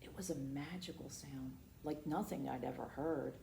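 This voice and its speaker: A woman reading a children's picture book aloud as storytime host, expressive and dramatic, pausing for asides and questions to her young listeners. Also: very deep voice, gravelly. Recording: roomy echo, noticeable background noise.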